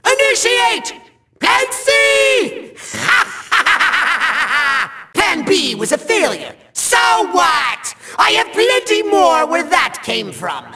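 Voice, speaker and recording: An actor playing a pompous, tantrum-prone little cartoon villain in a video game, with a shrill, gravelly, theatrical delivery. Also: male voice, huge pitch swings, slow, pushed and bright, shouting, exaggerated voice. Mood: frustrated scheming. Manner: theatrical, grandiose, sneering, cackling.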